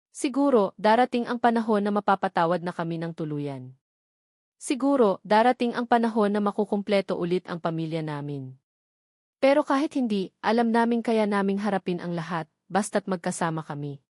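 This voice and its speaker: A woman's voice: mid-range voice, a little mellow, dry recording. Woman narrating sentimental stories, dry studio sound. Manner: earnest, sentimental, measured reading. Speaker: story narrator. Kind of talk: scripted dramatic story narration in first person.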